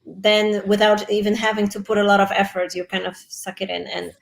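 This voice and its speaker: A woman hosting a live-stream interview over a video call. She talks at a middling pitch, chatty and friendly in her back-and-forth. She fills in with her own opinions and often agrees with her guest. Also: level tone, fairly quick, creaky. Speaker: host.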